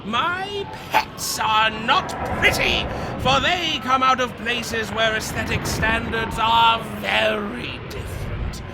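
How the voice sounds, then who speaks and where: An actor playing a deranged, menacing scientist in a radio horror drama, ranting and taunting theatrically. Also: male voice, very high-pitched, expressive, slow pace, very noisy, heavily acted. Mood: gloating menace.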